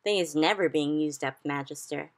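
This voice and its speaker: Woman doing a big kindly giant, folksy broken grammar, low voice, gravelly. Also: moderate pace, a bit bright. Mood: pleased. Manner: folksy, ungrammatical, exclamatory.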